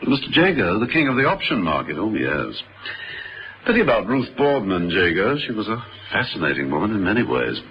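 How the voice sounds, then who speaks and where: An actor playing an urbane, unflappable gentleman agent with dry wit, in a vintage radio drama style. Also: on the low side, wildly swooping pitch, brisk, full character voice. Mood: suave, teasing. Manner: suave, wry, understated delivery. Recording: noisy vintage radio recording.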